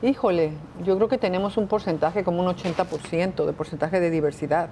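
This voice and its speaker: Low-voiced Mexican woman thinking aloud. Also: dark tone, slight background noise, low-quality recording. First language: Spanish. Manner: thinks aloud with pauses and fillers. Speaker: guest, tour company owner. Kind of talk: casual interview answers.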